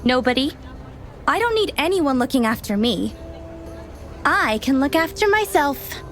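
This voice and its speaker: Orphan girl: high, animated, feisty and plaintive, fantasy game dialogue. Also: measured pace, soft background music, full character voice. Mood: defiant, wary. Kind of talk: video game voice acting.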